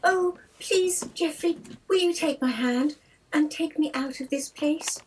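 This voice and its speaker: A woman's voice: high voice, a little slow, darkish tone, slight background noise. A woman performing in an improv scene, pleading theatrically and heard from a distance. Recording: voice from far off the mic.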